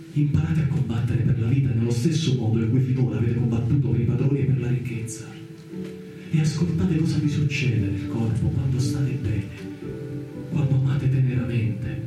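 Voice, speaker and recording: A man reciting a solemn dramatic monologue as a radio theatre actor, with measured, exhortatory phrasing and deliberate pauses. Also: mid-range voice. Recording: distant, very echoey radio theatre recording. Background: plucked guitar music.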